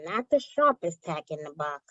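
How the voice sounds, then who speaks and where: A woman's voice: on the low side, sing-song, normal speed, a bit bright, no room sound, highs cut off. A woman playing a slangy, wisecracking, streetwise and wary underworld informant in old-time radio crime comedy style. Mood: businesslike, wry. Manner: slangy, wisecracking gangland talk.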